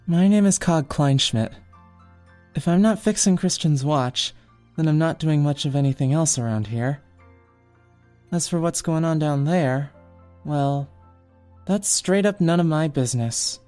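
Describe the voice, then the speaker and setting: A woman's voice: low-pitched, a little slow, long pauses, dark tone, soft background music. A woman playing a modest, easygoing young tinkerer with a casual, unassuming manner in an amateur comic dub. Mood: wistful, reflective.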